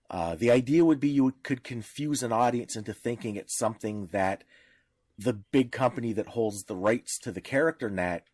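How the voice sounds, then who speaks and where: A man's voice: medium pitch, a little mellow, dry recording. Man explaining things on a podcast, dry sound. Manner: didactic, explains with examples. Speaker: podcast panelist. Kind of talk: informal explanatory discussion.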